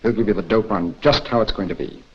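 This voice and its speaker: An American actor playing a composed intelligence instructor in a 1940s training film, speaking in a measured, instructive way. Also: male voice, fairly low pitch, slight background noise, lightly acted. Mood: matter-of-fact. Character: composed male intelligence instructor briefing an agent. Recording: dull, muffled 1940s film soundtrack.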